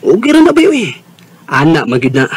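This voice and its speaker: A man's voice: a little high, wildly swooping pitch, fairly quick, breathy edge, heavily acted. A male actor with a Visayan Filipino accent playing a blunt, nosy, teasing young buddy in a radio drama. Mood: teasing, incredulous. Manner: blunt, exclamatory. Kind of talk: Bisaya radio drama acting.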